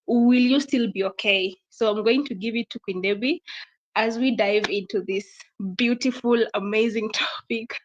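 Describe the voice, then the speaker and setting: A woman's voice: fairly high pitch, fairly even intonation. Woman with a cold, muffled lo-fi sound. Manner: halting, filler-heavy. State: has a bad cold. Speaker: host. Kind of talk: live online session intro. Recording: dull lo-fi sound.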